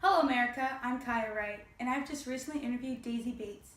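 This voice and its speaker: A woman's voice: on the high side, brisk, a bit bright. A young woman delivering a scripted news report as a student reporter and interviewer. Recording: roomy echo with background noise.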